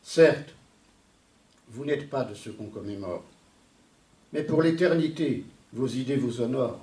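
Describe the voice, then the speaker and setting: A man recites poetry in a solemn, declamatory way, in measured phrases with deliberate pauses. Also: mid-range voice, expressive, dark tone. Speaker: poet reciting a poem. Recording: echoey, distant from the mic.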